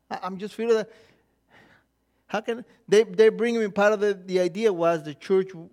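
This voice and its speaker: A middle-aged man from Mexico with a Mexican accent, preaching a sermon and telling stories with lively quoted inner voices and frequent restarts. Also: high voice. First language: Spanish. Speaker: pastor.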